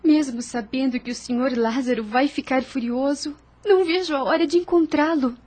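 An actress playing a compassionate, grave young plantation lady in a radio drama, earnest and measured, with a Brazilian accent. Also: high voice, darkish tone. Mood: pensive, troubled. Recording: music under the voice.

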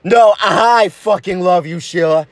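A performer voicing a needy, sappy male lover who sounds close to crying, halting and pleading, in a comedy parody of romance. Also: medium pitch, no room sound, close to an everyday voice. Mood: weepy, adoring. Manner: halting, pleading, repeats himself. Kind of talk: improvised parody of romantic melodrama.

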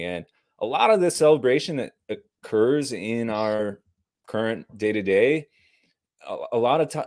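A man's voice: mid-range voice, a little mellow. A man speaking as a guest on a casual live-stream chat, earnest and rambling, enthusiastic and swearing casually. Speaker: podcast guest.

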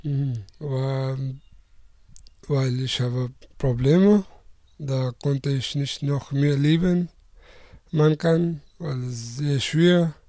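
Young man from Afghanistan, halting answers, very slow, mellow tone, dry sound. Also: mid-range voice, fairly even intonation, no room sound. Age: 20s. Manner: halting, searching for words, many restarts. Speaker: interviewee.

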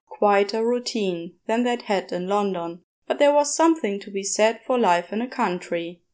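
A woman reading a story aloud as narrator, in an unhurried, measured way. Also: mid-range voice, darkish tone.

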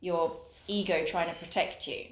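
A woman talks casually and thoughtfully on a podcast, with a voice that sits a little low for a woman. She hesitates and restarts as she thinks aloud. The recording has a noticeable room echo. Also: fairly even intonation, normal speed. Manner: reflective, hesitant with fillers. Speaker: podcast co-host. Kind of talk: casual conversational chat. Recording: roomy echo.

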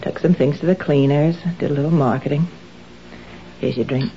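An actress playing a nervous, hesitant, guilt-ridden wife in a 1970s radio drama. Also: female voice, low voice, moderate pace, warm tone, highs cut off, a little hiss or hum. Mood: tense. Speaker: lead character.

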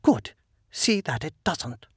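A man's voice: fairly high pitch, sing-song, slow, breathy edge, no room sound, clean studio sound, pitched above the performer's own voice, heavily acted. A man voicing a stern female sergeant who speaks in a curt, authoritative way.

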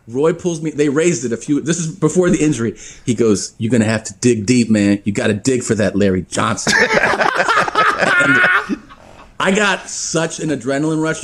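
A man telling casual stories as a podcast guest, animated and profane, laughing often. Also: fairly high pitch. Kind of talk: casual storytelling.

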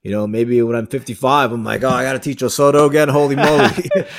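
A man in his thirties hosting an interview, talking in an animated, friendly way and often checking in with "right?". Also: medium pitch, quick pace. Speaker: podcast host and judo coach. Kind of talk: casual conversation.

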